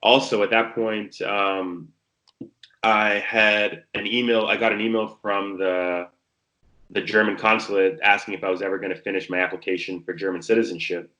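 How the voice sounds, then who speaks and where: A low-voiced man in his thirties from the Bahamas talks in a laid-back, filler-heavy way as a podcast guest. His pitch stays level and flat, and his tone is bright and forceful. He tells his story in a relaxed, unhurried manner. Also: poor audio. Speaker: guest, pro basketball player. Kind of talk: casual interview chat.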